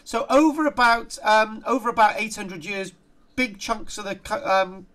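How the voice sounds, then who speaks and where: Male, middle-aged, South Wales accent, online lecture, chatty, jokey, halting, frequent asides. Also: sits high, fairly even intonation, a bit bright. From Barry, south Wales. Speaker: archaeology lecturer. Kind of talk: informal online lecture, reading aloud and commenting. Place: indoor room, on a group video call.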